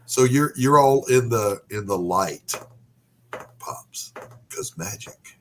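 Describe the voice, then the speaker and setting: A male podcast host with a lower voice that swings widely in pitch. He talks casually and with animated, playfully exasperated energy. A faint hiss sits under his voice. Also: slow. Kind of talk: casual chat.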